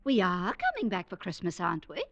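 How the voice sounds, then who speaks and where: An actress playing a bossy, belittling middle-aged wife in a 1940s radio drama, with a brisk, imperious, nagging manner. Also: female voice, fairly low pitch, wildly swooping pitch, gravelly, fairly bright tone, no room sound, highs cut off, heavily acted. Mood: scolding, dismissive. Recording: vintage 1940s radio recording.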